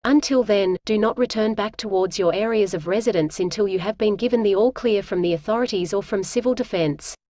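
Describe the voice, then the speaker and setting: Female emergency announcer, formal scripted read, average pitch, very little room sound. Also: brisk, hardly any pauses, dark tone, no echo.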